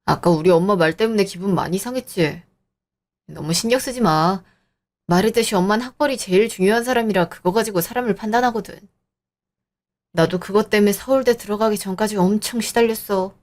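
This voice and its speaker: A woman voicing a young man, a fiancé who soothes and smooths things over in an audio drama. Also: medium pitch, brisk, a little mellow, natural voice. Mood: placating. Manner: soothing, placating. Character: young adult man, gentle peacemaking fiancé.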